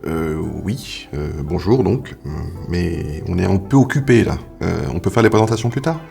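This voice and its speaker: Man playing a low, gravelly company boss. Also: animated, normal speed, dark tone, soft background music. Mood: awkward. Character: laid-back, hesitant male company boss running a meeting. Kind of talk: comedy audio drama acting.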